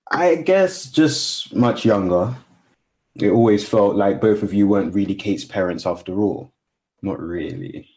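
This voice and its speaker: An actor playing an earnest, polite young family friend in a radio play, speaking in a hesitant, apologetic, wistful way. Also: male voice, fairly low pitch, expressive, measured pace. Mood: awkward, sincere. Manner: hesitant, apologetic, soft-spoken.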